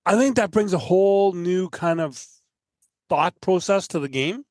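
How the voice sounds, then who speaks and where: A man from Canada talks casually as a podcast guest. His voice is on the higher side, and the recording is close and dry. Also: lively intonation, a little slow, darkish tone, no echo. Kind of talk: casual chat.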